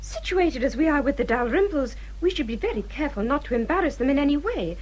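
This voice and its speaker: An actress playing a haughty, brisk English gentleman's daughter, crisp and dismissive, in a period radio drama. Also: high-pitched, animated.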